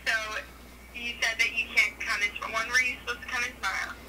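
A woman's voice: a little high, level tone, fast, crowd noise. A woman working in a shop, talking casually and hesitantly on a speakerphone call, asking puzzled questions. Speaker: shop employee answering a phone call. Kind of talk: casual phone conversation. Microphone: phone speaker picked up by camera. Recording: noisy phone call on speakerphone.

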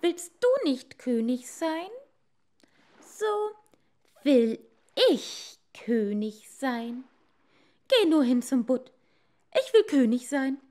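Lady doing a pushy greedy fairytale wife, way up high, ordering her husband around. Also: big pitch swings, extremely slow, long pauses, mellow, full character voice. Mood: demanding. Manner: imperious, demanding. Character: greedy, bossy fisherman's wife, impatient and shrill.

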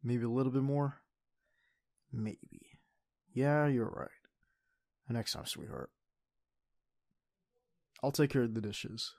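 A man playing an affectionate, playful teenage boyfriend in an intimate, soft-spoken ASMR roleplay. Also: mid-range voice, takes it slowly, creaky, pauses often, dry recording, clean studio sound. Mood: playful, coaxing.

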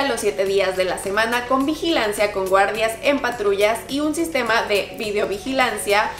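Woman narrating a house tour, upbeat, a bit high, echoey room, soft music under it. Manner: upbeat, descriptive, addresses viewers as friends. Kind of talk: scripted narration. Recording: roomy voice-over with background music.

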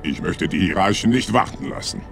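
A man voicing a hulking, gruff alien commander in a video game, sneering and sly. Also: low voice, animated, fairly quick, fairly bright tone, faint music behind, heavily acted. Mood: commanding. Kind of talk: cinematic video game acting.

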